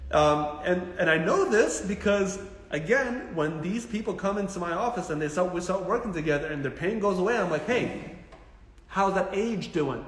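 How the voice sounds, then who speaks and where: Male physio host, slightly high voice, informal and punchy advice, repeats points for emphasis, recorded in a reverberant room. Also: moderate pace. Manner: animated, blunt, jokey, emphatic repetition. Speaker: physical therapist hosting a live Q&A. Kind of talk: casual informal health advice, answering viewer questions.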